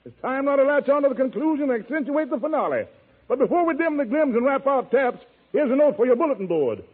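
A man's voice: extremely high pitch, big pitch swings, fast talker, husky, phone-quality sound. An American man hosting a 1940s radio show in showy, rhyming hep-cat slang on a vintage broadcast recording. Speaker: radio show host and announcer. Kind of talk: 1940s radio jive-talk emceeing.

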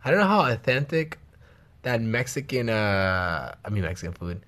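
A man's voice: on the low side, big pitch swings, moderate pace, fairly bright tone. A young man from the Los Angeles area vlogging in casual chat with his girlfriend, joking and cursing freely with slang.

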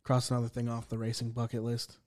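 Dry, deadpan man co-hosting. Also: mid-range voice, fast. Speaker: podcast co-host. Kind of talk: casual chat.

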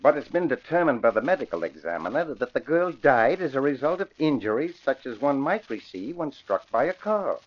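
A man's voice: mid-range voice, big pitch swings. A male police commissioner in a vintage radio play. He is official and placating, with a widely inflected voice and dull old broadcast sound. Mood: formal, reassuring. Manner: measured, formal, placating. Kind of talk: 1940s radio drama acting.

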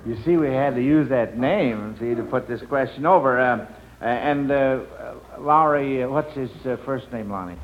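A man's voice: mid-range voice, moderate pace, a little hiss or hum. A man hosting a children's quiz show on old radio, folksy and a little hesitant as he talks. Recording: dull vintage radio broadcast.

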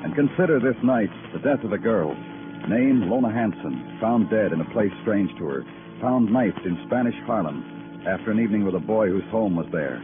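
An actor playing a brooding hard-boiled detective narrator, deliberate and terse, in 1950s radio noir. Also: male voice, on the low side, expressive, over music, close to an everyday voice. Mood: brooding, lyrical. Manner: poetic, deliberate, terse in questioning. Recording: thin tinny old radio recording.

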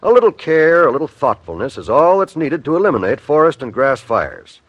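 Animated old-time radio announcer, a man. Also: on the high side, fairly quick, slightly rough, no room sound, dull audio. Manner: earnest, formal, emphatic.

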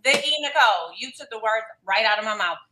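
A woman's voice: on the high side, expressive, fast, pushed and bright, rough recording. A woman in her thirties chatting casually and joking with friends. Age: 30s. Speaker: panelist on a TV recap livestream.

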